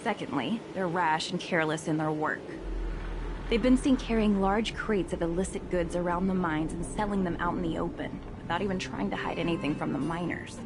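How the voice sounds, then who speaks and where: A woman's voice: on the low side, fairly quick, noisy background, natural voice. A woman playing a wary, street-wise young underworld informant in a video game, guarded and matter-of-fact. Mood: confiding.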